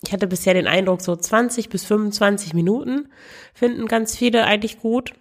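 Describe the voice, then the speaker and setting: A woman's voice: mid-range voice, a little fast. A woman hosting a podcast with frugal living tips, talking in a chatty, direct way to her listener while she has a cold. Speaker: podcast host. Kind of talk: casual money-saving tips podcast.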